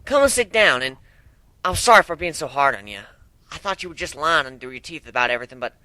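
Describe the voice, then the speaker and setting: A man's voice: on the high side, animated, fast talker, pushed and bright, no room sound, low-quality recording. A man voicing a blunt but apologetic female pony, hesitant and sincere, in a story reading.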